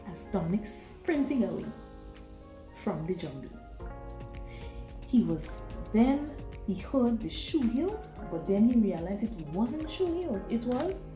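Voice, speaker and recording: A woman with a Grenadian accent, a visiting author who reads a story aloud to a class and then gently answers the children's questions. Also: a little high, roomy sound. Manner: gentle, encouraging, dramatic when reading.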